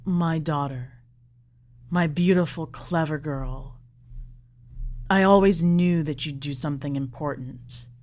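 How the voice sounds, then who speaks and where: Woman narrator as elderly father, lowered pitch, slow, earnest, tender, exacting, storybook reading. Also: darkish tone. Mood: tender, proud.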